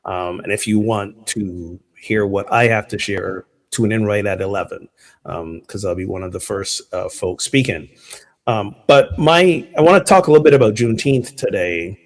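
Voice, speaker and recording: A man preaches in a casual, talky way, stopping often for ums and uhs. His voice sits somewhat low. He sounds like he is speaking into a computer from home. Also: normal speed. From Barbados. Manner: relaxed and chatty, many fillers. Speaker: minister. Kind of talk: conversational sermon. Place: home abroad.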